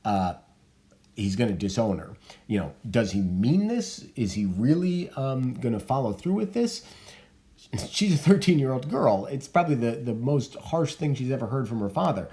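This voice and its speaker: A man's voice: mid-range voice, big pitch swings, normal speed, dark tone. Thoughtful man explaining a play. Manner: thoughtful, hesitant with many fillers. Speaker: teacher reading a play aloud. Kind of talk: explanatory commentary.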